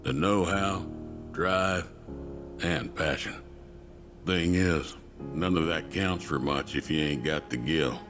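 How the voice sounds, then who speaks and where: An actor playing a folksy, plainspoken middle-aged cowboy with a Southern American drawl in a video game. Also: male voice, very deep voice, slow pace, pauses often, over music. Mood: grave, storytelling. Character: folksy, plainspoken older male cowboy racer. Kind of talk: dramatic video game dialogue.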